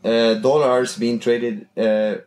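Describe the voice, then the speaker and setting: A man's voice: medium pitch, steady tone, takes it slowly, a little mellow. Hesitant man from Chile. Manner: hesitant, many restarts and fillers. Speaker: podcast guest. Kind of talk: casual interview talk.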